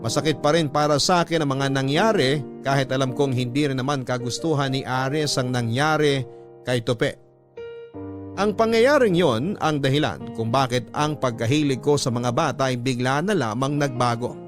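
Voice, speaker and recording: A man's voice: medium pitch, normal speed. A Filipino man reading a letter as scripted, dramatic narration for a radio drama. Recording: music bed under the voice. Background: music.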